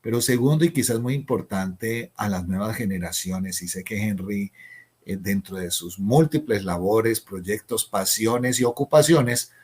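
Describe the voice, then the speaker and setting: A man from Colombia hosting an interview, speaking in a measured, flowery way. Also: fairly low pitch, a little mellow, husky. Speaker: interviewer, host. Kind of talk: formal interview questions. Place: Cali, Colombia.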